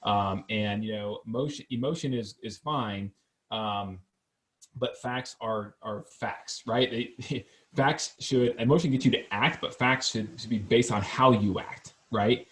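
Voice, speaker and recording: A man's voice: fairly low pitch, a little slow. A man hosting a real estate podcast from an office, talking casually and with energy, joking and tagging his points with "right?". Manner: energetic, joking, fact-focused, says "right?" often. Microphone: podcast microphone.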